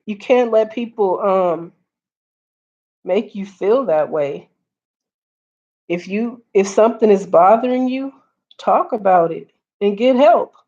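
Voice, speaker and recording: A woman hosting a casual live stream, earnest and repeating her points, greeting viewers, her voice getting hoarse. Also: medium pitch, slow, long pauses, warm tone. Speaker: livestream host. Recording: dull lo-fi stream audio.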